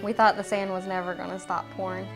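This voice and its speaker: A woman, the bride, looks back on her wedding day in a sentimental voice-over. She speaks warmly about her family and loved ones. Soft music plays beneath her. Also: medium pitch, level tone, fast talker. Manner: warm, sentimental. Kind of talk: voice-over recollection.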